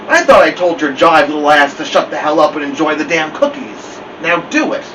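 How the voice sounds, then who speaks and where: A man's voice: fairly high pitch, big pitch swings, fairly quick. A man voicing a tough, mean teenage older brother who bosses and taunts, in a storybook reading. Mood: irritated. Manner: gruff, commanding, taunting. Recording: dull, distant mic with loud hum.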